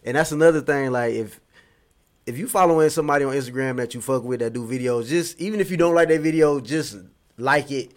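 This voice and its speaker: A man in his thirties, a comedian being interviewed, chatting in a relaxed, rambling way and often repeating himself. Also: medium pitch, fast. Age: 30s. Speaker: guest, comedian. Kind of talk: casual interview chat.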